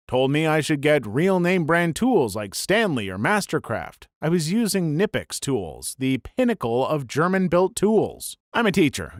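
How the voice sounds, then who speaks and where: A man reading a string of online stories aloud as a scripted narration, brisk and even with dry asides. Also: fairly high pitch, no room sound.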